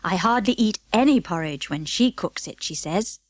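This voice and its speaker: A woman voicing a gentle, motherly bear in a children's storybook, speaking with careful deliberation. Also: medium pitch, expressive, moderate pace, no echo, natural voice. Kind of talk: children's storybook character reading.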